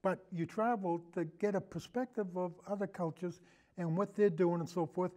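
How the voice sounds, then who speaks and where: A man's voice: high voice, fairly even intonation, moderate pace, darkish tone. A man speaking as a podcast guest in a casual film discussion, rambling earnestly and repeating himself as he goes.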